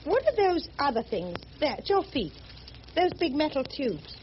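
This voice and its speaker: A woman's voice: sits high, big pitch swings, normal speed. An actress playing a prim, determined English missionary in her thirties, with Received Pronunciation and a formal, earnest manner, in a radio drama. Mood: intent, curious. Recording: muffled, noisy old radio drama recording.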